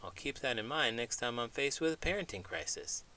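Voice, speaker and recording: A man hosting a children's read-aloud, speaking in an expressive, playful storytelling style. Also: medium pitch, a little fast. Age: adult parent.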